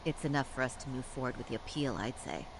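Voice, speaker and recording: A woman's voice: very deep voice, expressive, fast, noisy background. An actress playing a resolute woman lawyer in a crime drama game, formal and precise in her delivery. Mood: composed. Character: composed, steely woman lawyer with quiet indignation. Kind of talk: dramatic English game voice acting.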